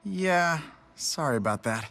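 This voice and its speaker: A man's voice: on the high side, animated, unhurried, gravelly, fairly bright tone, heavily acted. A man playing a mild, awkward young man in a video game, speaking hesitantly and apologetically. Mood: rueful.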